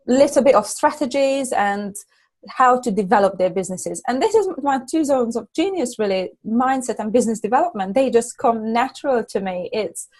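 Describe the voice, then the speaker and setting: A middle-aged woman with a Hungarian accent talks as a guest coach in a relaxed interview. Her voice sits a little high. She speaks earnestly, often halting and restarting her sentences. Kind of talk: casual interview chat.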